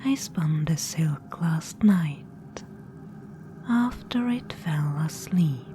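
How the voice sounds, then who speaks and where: A woman voicing a sinister, patient spider-woman guide in a breathy, deliberate whisper for a fantasy ASMR roleplay. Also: low voice, expressive, a little hiss or hum, exaggerated voice. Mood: quietly gloating. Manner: slow, hushed, deliberate. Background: music.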